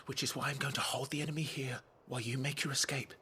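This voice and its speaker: A man's voice: medium pitch, steady tone, quick pace, pushed and bright, a little hiss or hum, natural voice. An actor playing a dutiful, protective young nobleman warrior in a video game, earnest and grave, with music behind him. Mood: resolute, tender. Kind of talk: dramatic video game acting.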